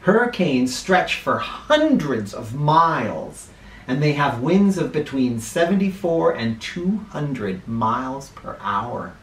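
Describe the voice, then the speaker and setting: An American man in middle age reads to children with very animated, swooping intonation. He takes his time and leans into words for drama. The sound is roomy, picked up by a camera in a living room. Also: fairly high pitch. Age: middle-aged. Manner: expressive, dramatic, deliberate emphasis. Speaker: children's picture book reader and host. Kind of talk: read-aloud for children with spoken asides. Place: small living room, indoors.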